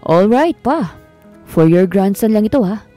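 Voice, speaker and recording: A woman's voice: mid-range voice, animated, a little slow, dark tone, soft background music, close to an everyday voice. A woman voicing a young businessman who protests and answers with sarcasm. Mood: reluctant.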